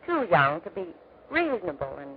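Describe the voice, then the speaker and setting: An actress playing a middle-aged, gently scheming wife and mother in 1940s radio drama, with a tactful, coaxing manner. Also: fairly low pitch, very animated, normal speed. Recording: noisy, tinny 1940s radio recording.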